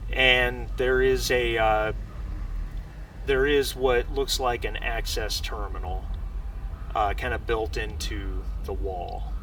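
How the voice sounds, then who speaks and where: Halting, bright-voiced male game master. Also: medium pitch. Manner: halting, searching for words. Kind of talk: improvised tabletop game narration. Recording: slightly distant mic with faint background noise.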